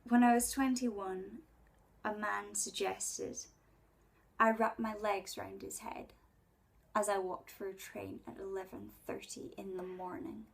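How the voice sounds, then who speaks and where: A woman in her twenties performing a spoken word poem in a breathy voice, with intimate, deliberate dramatic phrasing. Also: medium pitch, little pitch movement. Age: 20s. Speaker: poet. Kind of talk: spoken word poetry recital.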